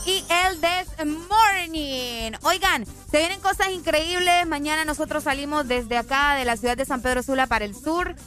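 A woman with a Honduran accent co-hosting a morning radio show with lively enthusiasm. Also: high-pitched, moderate pace, hardly any pauses, soft background music. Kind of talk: casual morning show chat.

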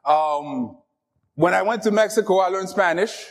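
Pastor, man, French first language, high voice, joking and lively with the congregation. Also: a little slow. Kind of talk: sermon.

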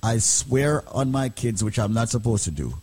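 A male radio host taking calls, with quick salesman patter and short interjections. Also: mid-range voice, animated, darkish tone, husky. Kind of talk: call-in radio talk.

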